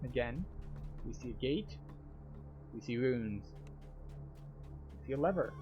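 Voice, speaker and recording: A man's voice: medium pitch, animated, unhurried, lo-fi sound, music in the background. A man giving casual, explanatory commentary as a tour guide through a video game.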